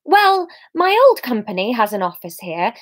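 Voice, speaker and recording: A woman's voice: on the high side, big pitch swings, moderate pace. A woman voicing a lonely, awkward young woman in her own story, speaking hesitantly. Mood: unsure.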